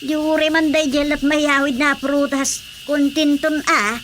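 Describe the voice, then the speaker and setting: Chatty Ilocano mother, fairly high voice, radio comedy acting, faint background noise. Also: flat delivery, unhurried, fairly bright tone. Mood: cheerful, chatty. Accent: Filipino, Ilocano. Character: chatty mother, addressed as nanang.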